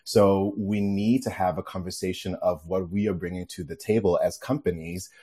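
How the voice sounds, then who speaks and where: A man from Denmark, a guest on a podcast interview, relaxed, laughing easily, with many fillers. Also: low voice, moderate pace, husky.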